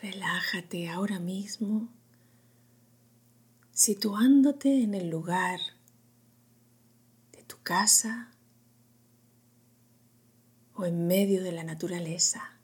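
Female meditation guide, lowish pitch, extremely breathy near-whisper, soft and soothing phrasing. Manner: slow, hushed delivery in short phrases with long pauses.

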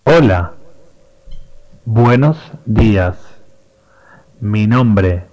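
Very slow, low man from Argentina. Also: lively intonation, a little mellow, low-quality recording. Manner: slow, careful self-introduction.

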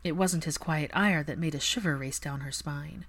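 A woman's voice: low-pitched, moderate pace, a bit bright, husky. A woman reading a story aloud as narrator, in a dramatic scripted narration style. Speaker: fan fiction narrator.

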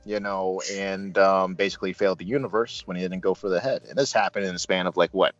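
A man talks through a casual film review as host, halting and rambling, with bursts of emphasis. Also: deep voice, level tone, no echo, lo-fi sound, faint music behind. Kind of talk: casual movie review discussion.

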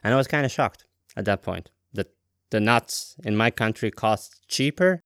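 Chatty man, smooth dry voice. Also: fairly low pitch, animated, moderate pace, a bit bright, no echo. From Latvia. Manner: friendly, chatty, jokey asides. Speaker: interviewer. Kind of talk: casual interview chat.

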